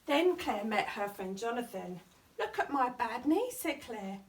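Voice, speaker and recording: A woman reads a story for young children in a somewhat high voice. Her delivery is expressive storytime narration, picked up a little way from the mic. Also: measured pace, recorded from a distance, slight background noise. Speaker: storytime reader at a primary school.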